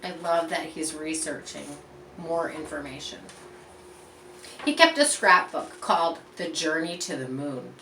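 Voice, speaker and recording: A woman reading a picture book aloud to children in an expressive, teacherly way, pausing to explain and point things out. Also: low voice. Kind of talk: read-aloud storytelling with explanatory asides. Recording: roomy echo, distant mic, faint background noise.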